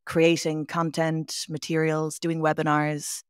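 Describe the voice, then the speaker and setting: A woman's voice: low-pitched, monotone, slow, clear, resonant tone, no echo, studio quality. A woman in her thirties from Dublin with a Dublin accent, an online Irish teacher talking as a guest over a video call. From Dublin, Ireland. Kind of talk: casual interview.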